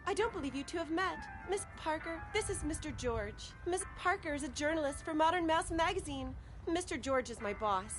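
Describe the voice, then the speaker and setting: Very high-pitched lady mouse. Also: lively intonation, normal speed. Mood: chiding, sociable. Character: sociable, bossy lady mouse assistant. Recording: music behind the voice.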